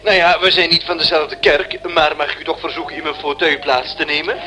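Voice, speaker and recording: An actor playing a courteous, contented, eccentric young tenant in a radio play, speaking in a polite, formal manner. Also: male voice, fairly high pitch, level tone, fairly quick, sharp tone. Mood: cheerful, polite. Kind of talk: radio drama acting. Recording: hissy, noisy old radio play recording.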